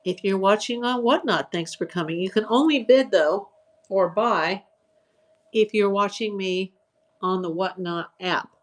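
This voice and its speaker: A woman hosting a live online auction stream, chatting casually, greeting viewers by name and listing items for sale. Her voice is getting tired and a little hoarse from hours of talking. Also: mid-range voice, a little slow. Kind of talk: casual live-selling chat.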